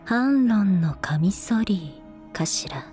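A woman voicing a cool, devoted young female senior student in an ASMR voice drama, deadpan and soft-spoken into a close binaural mic. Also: medium pitch, faint music behind. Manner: deadpan, soft-spoken, unhurried, occasionally whispery. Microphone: close binaural microphone.

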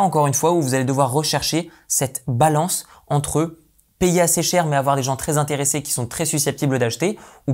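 A man in his thirties giving a brisk, explanatory tutorial to camera, seated indoors in a dim room at home. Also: a little high, darkish tone. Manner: brisk, explanatory, repeats "et donc de ce fait". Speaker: online business coach.

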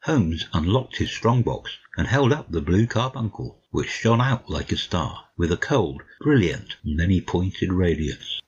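A man with a husky voice giving a scripted narration of a classic story for an audiobook, slightly muffled. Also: low voice, very animated, measured pace, few pauses.

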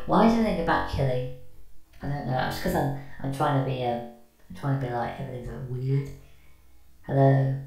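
A man's voice: mid-range voice, gravelly, voice pushed down. A man voicing a polite serving droid through an echoing voice-changer filter. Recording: echoing voice under loud synth music.

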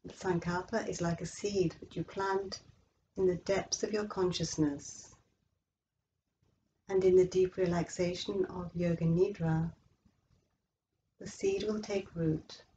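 A woman leading a guided yoga relaxation meditation in a slow, measured, soothing way with long pauses. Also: on the low side, fairly even intonation, warm tone, lo-fi sound, slight background noise. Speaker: yoga nidra instructor.

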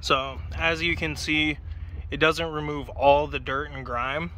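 A man's voice: fairly high pitch, measured pace, very noisy. A young man casually reviewing a pressure washer and foam cannon outdoors beside a car, chatty and swearing now and then, recorded on a handheld phone. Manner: casual, chatty, curses when excited. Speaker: car detailing vlogger.

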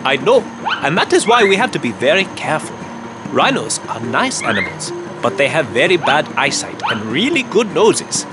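A man's voice: on the high side, sing-song, normal speed, loud music behind the voice. A man voicing an earnest, brave young boy who keeps rhinos in a children's cartoon. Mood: serious.

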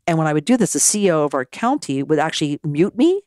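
A woman, a former mayor, telling her story as a podcast guest in an animated way, often acting out what people said. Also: low voice, quick pace, dry recording, studio quality. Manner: animated, acts out quoted dialogue. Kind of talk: storytelling conversation.

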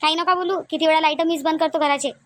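A domineering cartoon mother-in-law played by a woman rattles off her complaints at high pitch in a Varhadi Marathi accent. She is thrifty and short-tempered, nagging with question after question in a dry, close recording. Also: steady tone, fast talker, a bit bright, dry recording. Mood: scolding, sarcastic. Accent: Varhadi Marathi (Vidarbha). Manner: nagging, repetitive rhetorical questions. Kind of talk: comedic cartoon dialogue.

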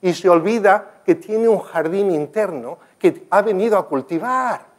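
A man's voice: high voice, measured pace. An older man giving a talk with slides in a conference room, telling stories earnestly and gesturing as he speaks. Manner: earnest, storytelling, animated gestures, some restarts. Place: conference room with projector screen.

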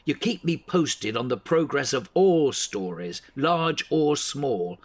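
Blunt, exasperated newspaper editor. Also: male voice, medium pitch, expressive, slow pace. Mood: angry, exasperated. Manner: scolding, blunt, authoritative. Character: exasperated, bossy male local newspaper editor. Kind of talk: audio drama acting.

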